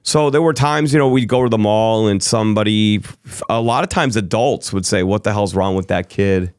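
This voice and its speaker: A man's voice: lowish voice, moderate pace, clear, resonant tone, dry recording, studio quality. Rambling middle-aged man, East Coast–Midwest accent. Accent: mixed East Coast and Midwest American. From Chicago area, USA. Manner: rambling, self-interrupting, with frequent ums and restarts. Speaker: interview guest. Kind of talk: candid storytelling conversation.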